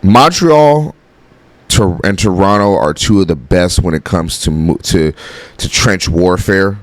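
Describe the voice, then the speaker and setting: A man's voice: low voice, unhurried, no echo. A man hosting a football reaction video in casual, blunt talk that is peppered with swearing. His voice is nasal and congested from a sinus infection, and his throat is sore. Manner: blunt, excitable, swears freely. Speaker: football reaction host and coach.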